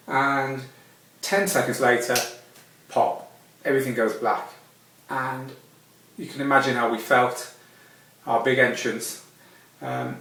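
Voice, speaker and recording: A man's voice: mid-range voice, a bit bright, a little hiss or hum. Man teaching in a very echoey room. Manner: explanatory, conversational, hands-on. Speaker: DJ instructor. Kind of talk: informal spoken tutorial with demonstrations. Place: indoor room with strong echo. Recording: very echoey room, distant microphone.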